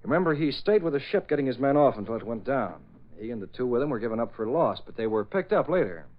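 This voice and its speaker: An actor playing a dry, wry newspaper boss with a sly, understated manner in an old radio drama. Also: male voice, mid-range voice, sing-song, fast talker, natural voice. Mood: wry, businesslike. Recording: dull old radio recording.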